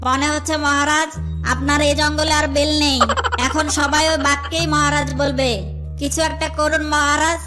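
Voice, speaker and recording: Woman voicing a high-pitched sly cartoon jackal. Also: normal speed, over loud music, close to an everyday voice. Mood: urgent, goading. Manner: wheedling, flattering, theatrical. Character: sly scheming jackal, flattering and power-hungry. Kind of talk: children's cartoon voice acting.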